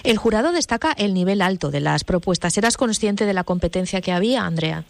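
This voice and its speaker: A woman hosting a radio interview, reading a scripted intro and asking clear, composed questions. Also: medium pitch, expressive, a little fast, no echo.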